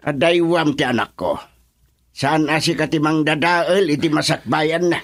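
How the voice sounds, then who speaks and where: A man's voice: on the high side, a little slow, a bit bright. A man playing an angry, protective father in a radio drama, scolding with a Filipino accent. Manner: scolding, confrontational. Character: angry, protective father confronting his daughter's suitor. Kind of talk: Ilocano radio drama acting.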